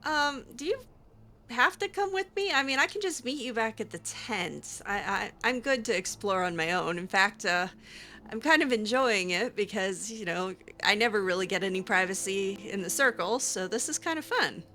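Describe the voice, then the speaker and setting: A woman roleplays a young circle mage in a fantasy game, with a crisp, bright voice at a medium pitch. She is curious and wryly skeptical, speaking hesitantly and casually as she thinks aloud. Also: lively intonation, slight background noise. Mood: hesitant, polite. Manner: hesitant, conversational, halting asides. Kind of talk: improvised video game roleplay.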